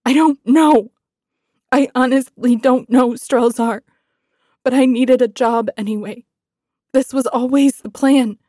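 A fierce military commander, played by a woman, in a fantasy audiobook. She is proud, wounded and defiant, biting off her words with emphasis. The tone is dark and mellow, recorded very dry. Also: medium pitch, a little slow, breathy edge, dry recording. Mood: anguished. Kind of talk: dramatic fantasy audiobook acting.

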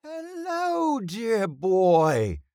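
Elderly thespian, flamboyant, bellowing, high pitch, theatrical, storybook. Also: male voice, huge pitch swings, extremely slow, darkish tone, no echo, studio quality, full character voice. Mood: grand, effusive. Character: flamboyant, grandiose old male stage actor.

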